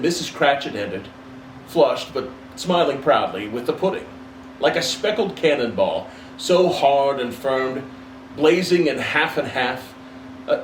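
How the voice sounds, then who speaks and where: Roomy, expressive male storybook reader. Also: a little high. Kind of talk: expressive dramatic literary narration. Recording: roomy, distant mic, faint background noise.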